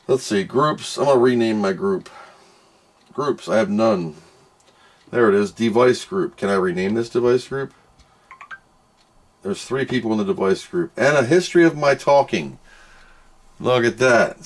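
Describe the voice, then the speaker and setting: A man giving a casual, unscripted product review as host, thinking aloud and grumbling as he goes. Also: on the low side. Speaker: host of a product test video.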